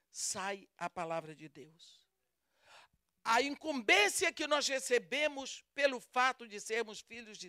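A woman with a Brazilian accent delivers a sermon, taking her time over each phrase. Her voice is smooth and clear, close and dry with no room sound, and she acts out the quoted lines with drama. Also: a little high, a bit bright, dry recording. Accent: Brazilian Portuguese. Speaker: preacher.

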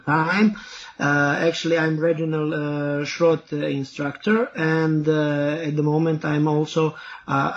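Halting Serbian-accented man explaining. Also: fairly high pitch. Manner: halting, heavy filler words. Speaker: guest therapy instructor. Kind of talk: podcast interview. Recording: dull, muffled audio.

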